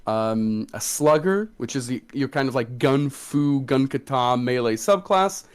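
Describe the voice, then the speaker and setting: A man talks in a casual, conversational way, as a game designer hosting a livestream. His pitch sits in an ordinary middle range for a man. He addresses an online community as he explains and takes questions. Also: lively intonation, measured pace, smooth. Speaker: tabletop game designer and stream co-host.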